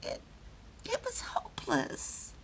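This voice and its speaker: A woman doing a soft-hearted, tearful young wife. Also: in a whisper, lightly acted. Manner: emotional, halting.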